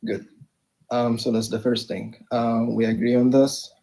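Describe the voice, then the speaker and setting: A man's voice: medium pitch, little pitch movement, unhurried, dark tone, poor audio. A young man from Egypt, a student in a live debate, answering hesitantly with many fillers and restarts. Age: young adult. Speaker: debate participant.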